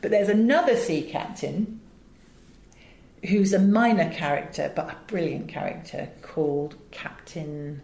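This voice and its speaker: A woman with an English accent talking as an interview guest, chatty and expressive, often breaking off and restarting. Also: deep voice, a little slow, creaky. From England. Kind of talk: animated interview conversation. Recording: echoey room.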